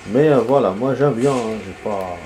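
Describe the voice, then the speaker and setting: A man's voice: mid-range voice, normal speed, warm tone, a little hiss or hum, low-quality recording. A man with a cold and a nasal voice, giving casual commentary while playing a video game, rambling with many fillers and restarts. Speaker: gaming video host.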